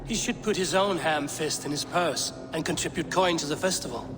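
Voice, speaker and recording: A man playing a stern, confident Norse warrior advisor in a video game, speaking in a blunt, measured way. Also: on the high side, music in the background, natural voice, low-quality recording. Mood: stern, challenging. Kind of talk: dramatic video game acting.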